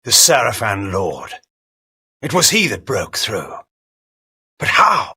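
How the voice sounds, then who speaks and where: An actor playing a proud, cunning vampire noble in a video game, with a theatrical, formal and imperious manner. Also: male voice, fairly low pitch, huge pitch swings, slow, pushed and bright, slightly rough, exaggerated voice. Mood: brooding, shaken. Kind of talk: dramatic video game cutscene acting.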